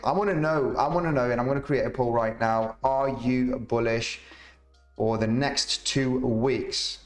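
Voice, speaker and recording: A man streaming live chart analysis, chatty and rambling, reading out viewer comments, his voice slightly rough. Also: medium pitch. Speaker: crypto market analyst streamer. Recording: roomy echo, faint music behind.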